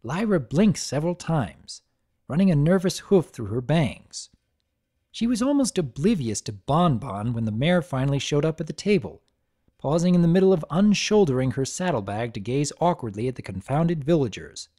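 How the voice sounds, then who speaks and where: A man's voice: fairly high pitch, moderate pace. A man narrating a dramatic reading of a fan fiction story. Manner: expressive storytelling.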